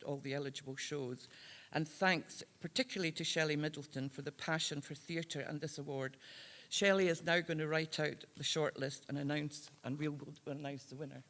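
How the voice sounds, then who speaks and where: A man with a fairly high voice speaks at an awards ceremony in a reverberant hall. He reads a prepared tribute earnestly and solemnly, now and then stumbling on a word. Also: a bit bright. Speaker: award presenter. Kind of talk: prepared memorial tribute speech. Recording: echoey hall with long reverb.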